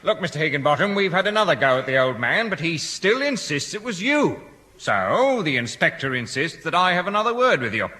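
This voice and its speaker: An actor playing a polite but officious, slightly weary police sergeant in a British radio sitcom. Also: male voice, fairly high pitch, sing-song, fairly quick, sharp tone. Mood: patient, insistent. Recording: echoey hall, old radio recording.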